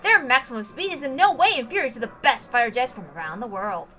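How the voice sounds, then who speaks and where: A woman's voice: a little high, expressive, fast, pushed and bright, faint music behind. A woman reading a story aloud as dramatic scripted narration, with emphatic, theatrical delivery. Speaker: reader of a visual novel.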